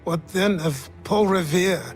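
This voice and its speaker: Casting: formal, probing game advisor with a high voice, faint music behind. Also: male voice, a little slow. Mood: urging. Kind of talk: video game cutscene acting.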